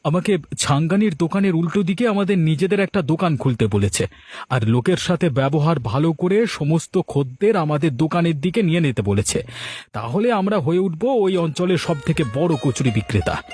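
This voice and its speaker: A man's voice: on the high side, expressive, brisk, a little mellow, breathy edge, no echo. A man voicing an aggrieved, envious village man in a cartoon, speaking in an indignant, boastful, emphatic way. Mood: scheming, boastful. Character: aggrieved, envious village man plotting a rival food stall. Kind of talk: animated moral story dialogue.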